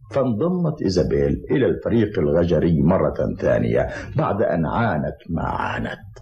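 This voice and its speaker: A man playing a solemn, protective old mountain grandfather in a dubbed cartoon, telling things in a grave, measured manner. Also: deep voice, a little mellow. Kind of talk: dubbed cartoon dialogue, storytelling in formal Arabic. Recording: dull lo-fi cartoon audio under music.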